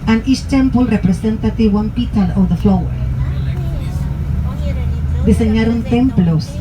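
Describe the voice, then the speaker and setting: A woman's voice: fairly high pitch, moderate pace. A native Spanish-speaking woman with a Latin American accent gives bilingual tour commentary, explaining things through rhetorical questions. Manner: explains through rhetorical questions, switching between two languages. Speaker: tour guide. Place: inside a tour bus. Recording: loud vehicle noise on a moving bus.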